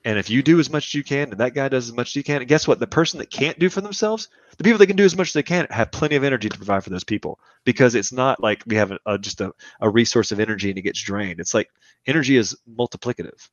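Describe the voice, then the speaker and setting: A man's voice: mid-range voice, lively intonation, rapid-fire, no room sound. A man in his thirties, a podcast guest, talking in a casual, emphatic and rambling way and giving strong opinions. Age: 30s. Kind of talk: casual conversational podcast chat. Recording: dull, dry lo-fi podcast audio.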